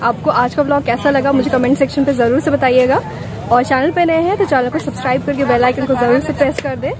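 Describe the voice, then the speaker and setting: A woman's voice: high voice, steady tone, quick pace, crowd noise. A woman vlogger talking on camera at an outdoor market, chatting to her viewers as friends. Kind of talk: on-the-spot vlog talk. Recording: outdoor market noise behind.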